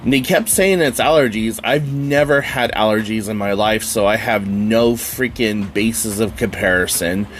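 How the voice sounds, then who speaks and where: A man's voice: lowish voice, normal speed, few pauses, slight background noise. A man hosting his own podcast in a casual, rambling solo chat, sounding nasal and stuffy from a summer cold. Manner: rambling, chatty, with frequent fillers and casual swearing. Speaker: podcast host.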